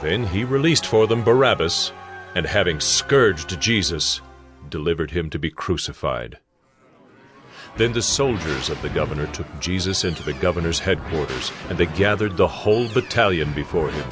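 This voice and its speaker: A man narrating a dramatized audio Bible in a solemn, measured storytelling manner. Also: deep voice, animated. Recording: music under the narration.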